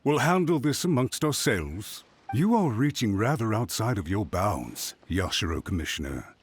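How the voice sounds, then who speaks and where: An actor playing a haughty, scheming clan official in a video game, talking in a pompous, sneering, emphatic way. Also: male voice, fairly low pitch, very animated, normal speed, slight background noise. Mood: smug challenge.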